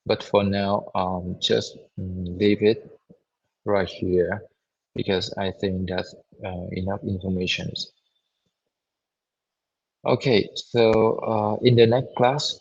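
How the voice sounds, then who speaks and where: A hoarse man giving a university lecture online, halting, with many repeats and fillers. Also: low-pitched. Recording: dull lo-fi audio.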